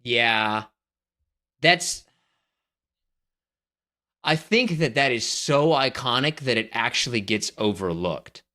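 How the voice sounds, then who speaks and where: A man's voice: mid-range voice, expressive, takes it slowly, pauses often, bright, forceful tone. A man co-hosting a casual movie podcast, bantering in an opinionated, emphatic way and needling his co-host.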